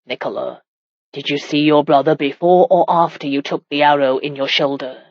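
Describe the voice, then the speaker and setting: Woman doing a low, commanding medieval husband. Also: moderate pace, darkish tone, dry recording, highs cut off. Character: commanding, exasperated medieval warrior husband, protective.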